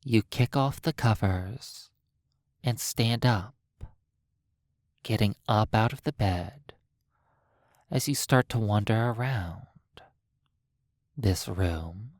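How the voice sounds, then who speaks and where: A hypnotist guides a sleep session at an extremely slow pace. The delivery is soothing and broken by long pauses. The recording is dry, with very little room sound. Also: mid-range voice, a little mellow, no echo. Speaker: hypnotist narrator.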